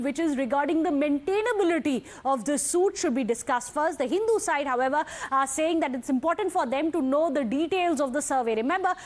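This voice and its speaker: Female TV news anchor, Indian English, high voice, emphatic live breaking-news delivery, hammers key points.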